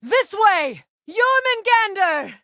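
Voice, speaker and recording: A woman voicing a proud, measured Norse warrior goddess in a dramatic video game, with formal, deliberate and dry wording. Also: very high voice, sing-song, sharp tone, no echo, exaggerated voice. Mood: urgent battle shouting.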